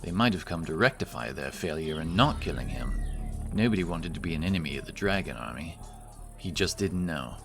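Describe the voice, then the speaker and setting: A man's voice: low voice, lively intonation, fairly quick, vocal fry. A man reading dramatic scripted fantasy narration as an audiobook storyteller. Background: music.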